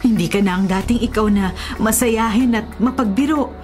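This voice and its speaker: A woman acting in a Filipino radio drama as a concerned, gently insistent mother, earnest and sorrowful. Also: mid-range voice, moderate pace, faint music behind, lightly acted.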